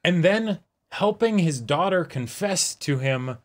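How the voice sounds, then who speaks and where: A man's voice: on the high side, lively intonation, takes it slowly. A man co-hosting a reaction show in casual, conversational chat.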